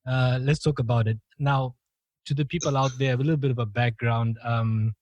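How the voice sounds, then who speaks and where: A man's voice: medium pitch, fairly even intonation, normal speed, darkish tone, dry recording, dull audio. A young man from Malawi hosting a podcast, chatting casually and laughing often between filler words. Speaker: podcast host. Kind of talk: casual interview chat.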